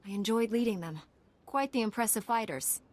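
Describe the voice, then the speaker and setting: An actress playing a calm, self-assured warrior heroine in a video game, speaking in a composed, measured way. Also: female voice, on the high side, close to an everyday voice. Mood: calm assurance.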